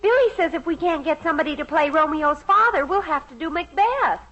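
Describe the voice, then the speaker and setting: An actress playing an anxious teenage daughter in a vintage American radio sitcom, with a pleading, overdramatic manner. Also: female voice, high voice, expressive, fairly quick, a bit bright. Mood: melodramatic. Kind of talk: 1950s radio sitcom acting. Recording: muffled vintage radio recording.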